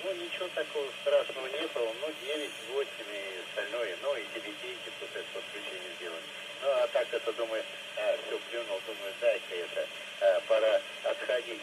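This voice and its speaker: A man's voice: on the low side, brisk. Man rambling over noisy radio. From Russia. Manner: rambling, hesitant, filler-heavy. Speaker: amateur radio operator. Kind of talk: casual radio chat. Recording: noisy two-way FM radio, loud static.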